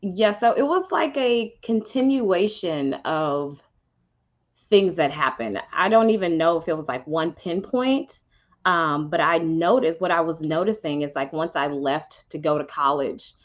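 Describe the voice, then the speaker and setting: A woman from Houston, Texas, a podcast guest sharing her faith testimony in a reflective, halting way with many ums. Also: low voice, lively intonation, dull audio. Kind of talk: personal testimony in conversation.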